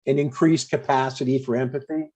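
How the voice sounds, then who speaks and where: A man playing an earnest, husky older widowed dad. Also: mid-range voice, fairly even intonation, fairly quick, darkish tone, close to an everyday voice. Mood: earnest, gentle. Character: older widowed father, retired deacon, earnest and blunt. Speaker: actor in a table read. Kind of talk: scripted dialogue, comedy table read. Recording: dull, lo-fi sound.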